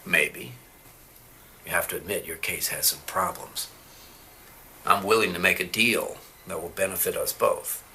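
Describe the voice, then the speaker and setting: An actor playing a corrupt, defiant veteran defense attorney in an audio drama, with an argumentative, sardonic manner. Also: male voice, low-pitched, measured pace, long pauses, pushed and bright, a little hiss or hum, exaggerated voice. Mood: cool, defiant.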